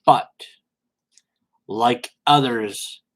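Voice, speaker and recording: A man's voice: mid-range voice, extremely slow, fairly bright tone. A man voicing a pompous, self-righteous religious internet debater in a melodramatic, condescending mock reading. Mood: mock-wounded, grand.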